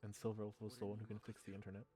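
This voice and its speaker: A man casually commenting as he plays through a story game, thinking aloud in halting, musing remarks. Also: on the low side, monotone. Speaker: let's play host. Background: game music.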